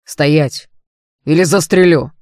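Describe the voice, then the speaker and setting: A male narrator plays a young man consumed by bitter anguish and vengeance. His voice is hoarse and crackles with fry in a very dry recording. He snarls and taunts with open menace, in storybook melodrama. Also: mid-range voice, normal speed, warm tone, no echo. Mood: furious, shouting.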